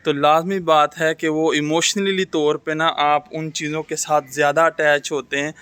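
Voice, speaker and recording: Clear-voiced man chatting casually, higher-pitched. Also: level tone, normal speed, a bit bright, no echo. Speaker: vlogger. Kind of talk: casual chat to camera. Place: outdoors in rainy weather.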